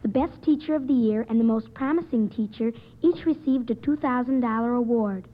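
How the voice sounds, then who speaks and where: A young American woman reads precisely and formally on a vintage radio program. Her voice is fairly high, and the old recording is thin and boxed-in. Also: phone-quality sound. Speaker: quiz show panelist. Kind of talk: reading a prepared report on radio.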